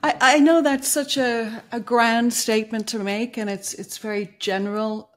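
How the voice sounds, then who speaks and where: A woman's voice: a little high, steady tone, moderate pace. A woman in her fifties from Dublin with an Irish accent, a guest author speaking thoughtfully on a video call, with many fillers and easy laughs. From Dublin, Ireland. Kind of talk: conversational podcast interview. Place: home room, video call. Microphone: over-ear headphones, video call.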